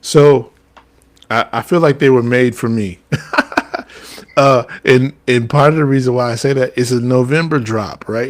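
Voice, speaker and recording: A man hosting a podcast, chatting in an easygoing, agreeable way. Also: mid-range voice. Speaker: podcast host. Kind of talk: casual chat.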